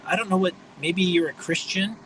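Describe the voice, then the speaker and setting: A man in his fifties talks through a loose livestream monologue with a high, bright, forceful voice. He is blunt and mocking, and he wanders from point to point. A faint hum sits under the recording. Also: normal speed, low-quality recording. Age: 50s. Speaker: livestream host.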